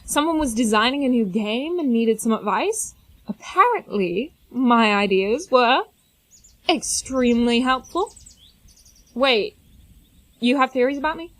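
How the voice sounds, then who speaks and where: Brainy young teen inventor , played by a woman: high-pitched, very animated, wordy and precise, mischievous audio drama hero. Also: unhurried. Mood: cheerfully matter-of-fact. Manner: precise, wordy, technical phrasing. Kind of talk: scripted audio drama acting.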